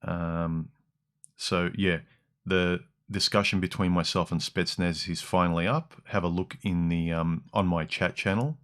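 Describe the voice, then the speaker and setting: A man with an Australian accent hosting a casual livestream into a dynamic mic, with a deep, slightly harsh voice and rambling, restart-filled speech. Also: fairly even intonation, measured pace, a bit bright, clean tone. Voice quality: deep voice with some harshness in the mids and lows. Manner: rambling, with frequent ums and restarts. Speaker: livestream host. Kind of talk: casual livestream chat reading comments. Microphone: dynamic broadcast mic.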